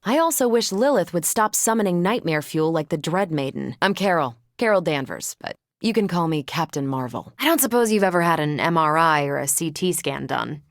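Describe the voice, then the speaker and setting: A woman's voice: sits low, expressive, brisk, few pauses, no echo, clean studio sound. An actress playing a confident, friendly superhero in a video game, casual and wry in her delivery. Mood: wry, conversational. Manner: casual, wry, self-assured. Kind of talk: video game character voice lines.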